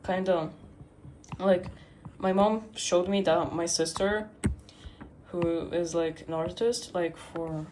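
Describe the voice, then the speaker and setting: A teenage girl rambling on a livestream, full of pauses, fillers and restarts. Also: fairly low pitch, fairly even intonation, a little mellow, a little hiss or hum, low-quality recording. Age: teens. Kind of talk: rambling casual stream chat.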